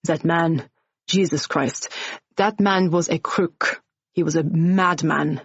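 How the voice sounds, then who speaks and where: A woman reads as a wealthy bar owner in his sixties, soft-spoken with a French accent. His voice sits low and unhurried. The recording is dry and a little dull. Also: fairly even intonation, husky, no echo, heavily acted. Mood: disgusted.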